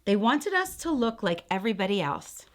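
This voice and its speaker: A woman's voice: mid-range voice, big pitch swings, moderate pace, close to an everyday voice. A woman reading the part of a patient grandmother who looks back reflectively on her childhood in a picture book.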